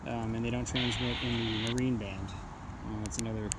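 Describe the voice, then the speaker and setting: A man's voice: mid-range voice, steady tone, moderate pace. Rambling man in very noisy recording. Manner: rambling, blunt, matter-of-fact. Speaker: amateur radio hobbyist reviewing gear. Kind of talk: casual unscripted product demonstration.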